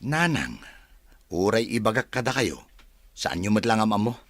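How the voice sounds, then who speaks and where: A man's voice: on the low side, sing-song, a little slow, fairly bright tone, heavily acted. A man acting as an evasive, defensive husband and son in an audio drama, brushing off questions with exasperation.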